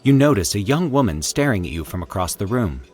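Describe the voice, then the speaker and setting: A man narrating a scripted horror story in a formal, deliberate, theatrical storytelling manner. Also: on the low side, sing-song, darkish tone, soft background music. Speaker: host and narrator of a fiction podcast.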